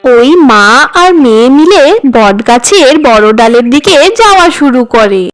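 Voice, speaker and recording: A woman's voice: a little high, very animated, a little slow. A woman narrating a scripted children's cartoon story.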